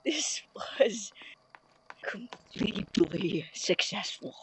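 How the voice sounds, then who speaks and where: A woman playing an obsessive, defiant young male student scientist in an audio drama, with intense formal diction and a husky, breathy voice. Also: medium pitch, expressive, drawn-out words, whispered, low-quality recording. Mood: manic triumph. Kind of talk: audio drama acting, recorded-diary narration.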